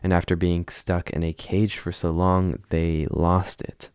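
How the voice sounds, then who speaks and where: A man's voice: low voice, little pitch movement, a little slow, a little mellow, smooth, dry recording, studio quality. A man hosting a video essay on a horror series, explaining it in a conversational way. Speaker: video host, narrator.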